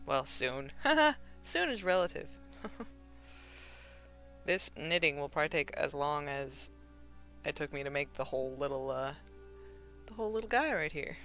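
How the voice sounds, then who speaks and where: Chatty gravelly-voiced young woman. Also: low voice, very animated, unhurried, long pauses, a bit bright, faint music behind. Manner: rambling, chatty, with fillers and laughs. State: a bit hoarse from not talking for hours. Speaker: craft streamer. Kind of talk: casual streaming chat while knitting. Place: at home, alone.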